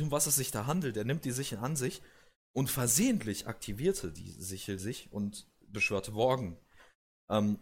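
A man with a cold, casually explaining video game lore as host, with many hesitations and restarts. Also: on the low side. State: has a cold.

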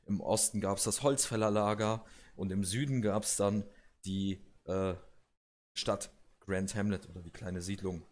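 A man's voice: on the low side, steady tone, measured pace, darkish tone. A man with a cold, casually explaining video game lore as host, with many hesitations and restarts. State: has a cold.

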